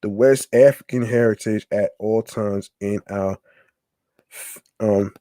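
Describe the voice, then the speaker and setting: A man with a lowish, mellow voice reads a long text aloud on his podcast. He moves very slowly, often stumbling and restarting mid-word. The sound is close and dry. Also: steady tone, no echo. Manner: halting reading, stumbling and restarting over words. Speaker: podcast host.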